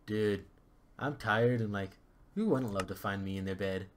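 A man's voice: fairly low pitch, normal speed, a little hiss or hum, natural voice. A man voicing a vain, airheaded young prince with a lazy, self-admiring drawl in a comic fairy-tale reading. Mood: smug.

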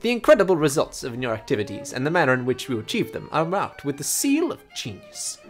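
A man reading out a letter as a haughty, roguish French gentleman thief, in a grandiose, mock-French voice. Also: fairly high pitch, big pitch swings, quick pace. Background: game music.

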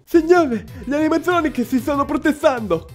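A man's voice: very high voice, lively intonation, moderate pace, pitched above the performer's own voice. A man voicing an anxious underling in a parody cartoon dub, urgent and deferential. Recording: music behind the voice.

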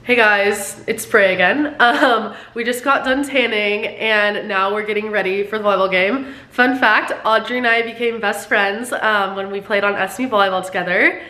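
A woman's voice: mid-range voice, normal speed, few pauses, pushed and bright, reverberant. A woman in her twenties, a friend of the host, chatting into the camera in a bubbly, gushing way. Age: 20s. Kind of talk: casual vlog chat. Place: apartment room, indoors.

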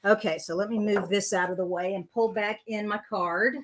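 A woman's voice: mid-range voice, steady tone, moderate pace. An older woman hosting a live card-making tutorial, chatting as she works and reading viewer comments aloud. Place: craft room at a desk.

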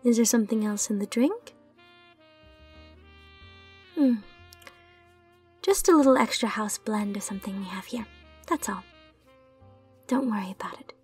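A mysterious, gentle bartender from a magical bar, acted by a woman in an ASMR roleplay. She speaks very softly in a breathy, whispery voice, taking her time and soothing the listener, with music behind her. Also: medium pitch, far from the mic. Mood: soothing, reassuring. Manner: slow, soothing, reassuring delivery. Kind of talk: soft-spoken ASMR roleplay.

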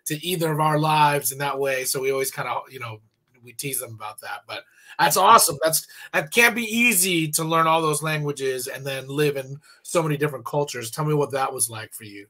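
Upbeat bright-voiced man hosting. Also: fairly high pitch, brisk. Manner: chatty, upbeat, enthusiastic. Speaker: interview host. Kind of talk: casual interview. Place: home room, video call.

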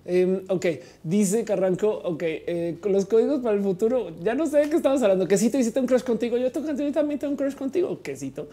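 A woman's voice: mid-range voice, expressive, a little fast, few pauses, mellow. A woman in her forties hosting a livestream, reading viewers' messages in a chatty, playful way with lots of fillers. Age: 40s. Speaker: livestream host reading chat. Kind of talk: casual live chat.

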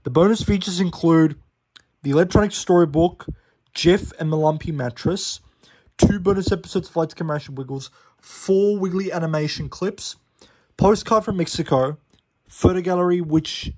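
A man's voice: high-pitched, moderate pace, a little mellow, breathy edge, poor audio. Chatty young man reviewing. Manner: fact-listing, self-correcting, chatty with fillers. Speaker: DVD collector reviewing a disc. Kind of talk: casual collector review. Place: small living room indoors. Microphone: phone camera held over the disc.

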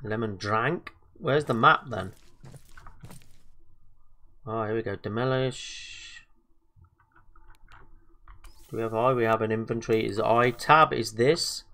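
A man's voice: fairly low pitch, slow pace, long pauses, bright, forceful tone. Man, slightly stuffy from a cold, casual gaming commentary, hesitant, chatty. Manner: chatty, hesitant, lots of fillers and self-talk. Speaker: gaming video host. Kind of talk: casual let's-play commentary.

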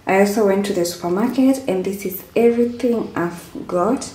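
A woman's voice: medium pitch, normal speed, warm tone. A young woman in her twenties chatting casually in a vlog, listing things with fillers and small self-corrections. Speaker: vlogger. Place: small indoor room at a table. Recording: echoey room with clear background noise.

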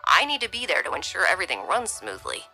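A woman voicing a tired middle-aged male security chief who speaks low and half-whispered. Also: huge pitch swings, quick pace, gravelly, sharp tone, slightly rough, faint music behind, heavily acted. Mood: weary.

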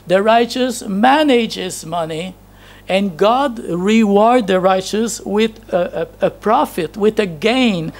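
Gravelly-voiced man preaching at a pulpit. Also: sits high, slow pace. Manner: storytelling, chatty, laughs at himself. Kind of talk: anecdotal sermon. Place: church hall with projection screen. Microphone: handheld microphone.

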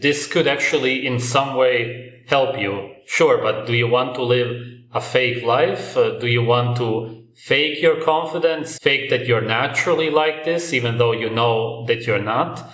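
A man commenting bluntly over video clips, speaking in a bright apartment room. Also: medium pitch, unhurried, hardly any pauses. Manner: dismissive, blunt critique. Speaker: reaction video host. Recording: roomy echo.

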